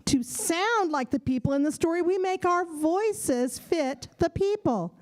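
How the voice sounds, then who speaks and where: A woman with a very high, swooping voice co-presents a scripted show for children. She speaks slowly, with playful, theatrical questions. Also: lively intonation, darkish tone. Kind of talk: scripted stage patter for children. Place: live event at a public library.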